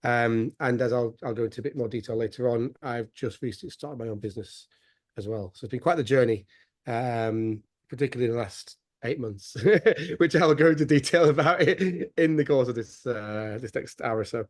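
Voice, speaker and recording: A man's voice: mid-range voice, a little fast, no echo, clean studio sound. A man in his thirties with a West Yorkshire accent, chatting casually and self-deprecatingly as a guest on a video call webinar. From West Yorkshire, England. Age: 30s. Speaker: webinar guest, programme graduate.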